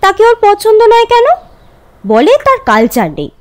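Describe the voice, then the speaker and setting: An actress playing an outspoken young sister in a literary audio drama, with a pert, emphatic, challenging manner. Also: female voice, very high voice, sing-song, moderate pace. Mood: pert, questioning.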